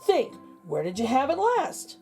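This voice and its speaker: A woman's voice: high voice, huge pitch swings, measured pace, vocal fry, a little mellow, faint music behind, exaggerated voice. A woman voicing a practical father in a storybook, steadying and questioning his children. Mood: calm.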